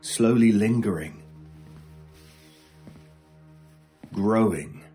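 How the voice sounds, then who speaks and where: A man acting a mysterious, intense stranger in an old-time radio horror drama, speaking deliberately with theatrical pauses. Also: lowish voice, mellow, close to an everyday voice. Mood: brooding, musing. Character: intense mysterious male stranger, brooding paranormal expert. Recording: music under the voice. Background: music.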